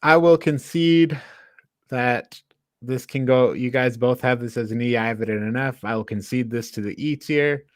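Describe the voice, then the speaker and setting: A man with an average-pitched voice hosts a casual film discussion. He talks informally and haltingly, working out his opinion aloud. The sound is dry, with very little room in it. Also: no room sound. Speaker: podcast host. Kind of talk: casual film review banter.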